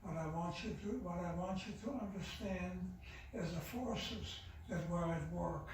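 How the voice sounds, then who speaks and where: Very old man from Austria recounting his life. Slow and halting, heard from far off in a very echoey sanctuary. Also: a little mellow, a little hiss or hum. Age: 90s. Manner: slow, halting, with many restarts and pauses. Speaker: Holocaust survivor speaker. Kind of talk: personal testimony talk. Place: synagogue sanctuary. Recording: low-level, distant, very roomy recording.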